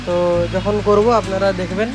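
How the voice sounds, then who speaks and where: Fast, even-toned West Bengal Bengali man. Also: high voice. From West Bengal, India. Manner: informal, repeats tag phrases. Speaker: speaker repair technician and channel host. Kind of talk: casual vlog narration showing repair work. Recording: music playing behind the voice. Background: music.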